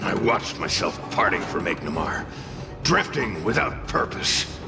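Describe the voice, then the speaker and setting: A haughty, sarcastic spirit from a video game. His gravelly delivery swings wildly up and down and takes its time, dripping with theatrical grumbling. Music plays behind him. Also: sits low, big pitch swings, measured pace, exaggerated voice. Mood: wistful, brooding. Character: grumpy, sarcastic male fiery spirit, haughty and dramatic.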